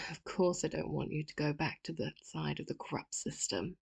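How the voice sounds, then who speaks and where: A woman playing a softly menacing, doting villain. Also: low voice, moderate pace, gravelly, slightly rough, full character voice. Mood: possessive. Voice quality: soft and intimate, often whispered. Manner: sweetly manipulative, with quiet laughs. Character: possessive, doting female villain, calm and menacing. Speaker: ASMR roleplay voice actor. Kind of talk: audio roleplay, addressing the listener.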